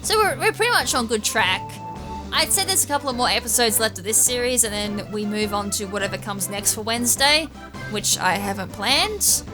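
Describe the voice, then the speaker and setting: A woman's voice: a little high, lively intonation, moderate pace. A woman giving casual, rambling video game walkthrough commentary, thinking aloud with an occasional stutter. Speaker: gaming YouTuber, series host. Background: game music.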